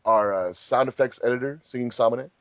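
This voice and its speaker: A man's voice: medium pitch, a little fast, a bit bright, a little hiss or hum. A man hosting a casual group chat in a jokey, rambling, giggly way. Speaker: host of a fandub group commentary.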